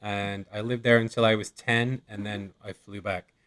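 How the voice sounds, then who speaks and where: Young British guy, relaxed plain talker with a few ums, heard over a video call. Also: on the low side. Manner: easygoing, plain and slow for learners, with ums. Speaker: guest.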